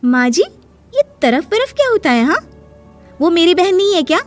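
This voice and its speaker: A woman playing a sassy, sharp-tongued young daughter-in-law who argues in a pert, mock-polite way in a cartoon family drama. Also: very high voice, big pitch swings, normal speed, no echo, faint music behind. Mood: indignant.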